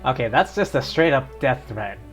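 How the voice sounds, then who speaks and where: A man's voice: mid-range voice, animated, normal speed, fairly bright tone, soft background music. A man doing casual live game commentary as a streamer, with offhand, wry reactions.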